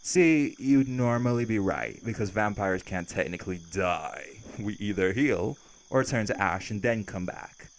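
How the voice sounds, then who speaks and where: A man's voice: on the low side, animated, a little slow. A man playing a cheerful, cocky vampire who teases, flirts and casually threatens in an intimate audio roleplay. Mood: cheerful menace.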